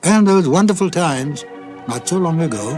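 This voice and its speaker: A male presenter delivers a scripted sign-off. His voice is a touch high and measured, with clear organ music playing behind him. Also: expressive, darkish tone. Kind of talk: scripted closing credits.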